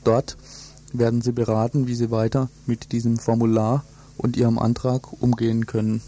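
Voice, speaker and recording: A man reporting in a scripted, measured and matter-of-fact way as a radio news reporter. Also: medium pitch, level tone, dark tone.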